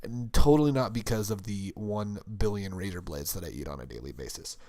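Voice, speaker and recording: A young man talks in a casual, rambling way, laughing easily. His voice is hoarse, gravelly and scratchy from screaming, and sits fairly low. The sound is dry and close. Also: expressive, fairly quick, mellow, no echo. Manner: rambling, upbeat, laughs often. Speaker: podcast co-host. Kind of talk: casual chat.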